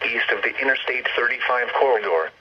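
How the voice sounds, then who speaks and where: A man's voice: mid-range voice, fairly quick, breathy edge. A synthetic male voice reading a scripted weather bulletin over the radio in a formal, impersonal, list-like way. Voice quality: synthetic text-to-speech voice. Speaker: automated weather radio announcer. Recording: dull lo-fi radio broadcast, faint noise.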